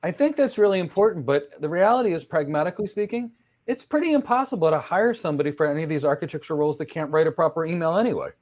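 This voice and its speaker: A man's voice: a little high, very fast. A man of Greek background hosting a casual interview, telling emphatic stories. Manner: animated, emphatic storyteller. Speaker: host.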